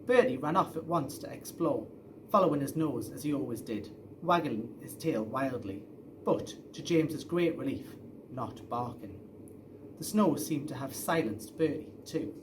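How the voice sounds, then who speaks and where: A man's voice: medium pitch, animated, moderate pace, background noise. A man reading a children's story aloud expressively, as a storyteller. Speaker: story reader.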